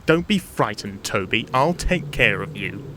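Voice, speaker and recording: A man's voice: fairly low pitch, very animated, measured pace, fairly bright tone. A man voicing a proud, impatient steam engine who is easily flustered, with a blustering manner, in a children's story. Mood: scoffing. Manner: blustering, stammering excuses.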